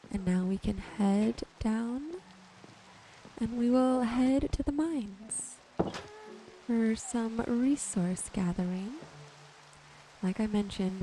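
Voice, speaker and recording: A woman giving gentle, soothing ASMR commentary over her gameplay in an unhurried way. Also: mid-range voice, slight background noise, poor audio. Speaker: gaming video creator. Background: music and rain sounds.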